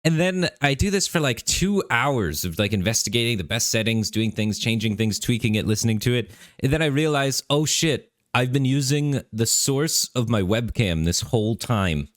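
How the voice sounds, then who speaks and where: A man streaming a game live, talking in a relaxed, rambling way with lots of fillers, his voice bassy on a studio microphone at his home setup. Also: normal speed, few pauses, dry recording. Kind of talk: casual live-stream commentary. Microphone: studio microphone through an audio interface.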